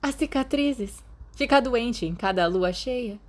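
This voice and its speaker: A woman with a Brazilian Portuguese accent voicing a lively, teasing teenage girl in a podfic reading. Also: on the high side, slow pace, pitched above the performer's own voice. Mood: reassuring.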